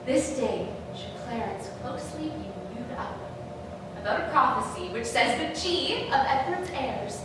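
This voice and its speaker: A woman playing a scheming, bitter royal villain in a theatrical Shakespearean staged reading, with dramatic, weighted pauses. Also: high-pitched, big pitch swings. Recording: very echoey noisy hall, distant mic.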